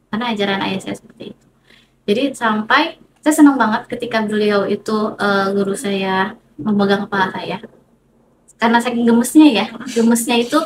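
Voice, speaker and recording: A woman with an Indonesian accent tells personal stories as a teacher guest in a casual interview, reflective and repeating phrases for emphasis. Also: mid-range voice. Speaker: mathematics teacher, podcast guest. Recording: clear background noise, room ambience.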